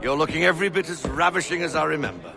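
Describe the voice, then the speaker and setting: An actor playing a gruff but jovial old seafaring captain in a video game, with salty nautical talk. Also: male voice, fairly high pitch, expressive, very fast. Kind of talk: video game cutscene acting. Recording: music under the voice.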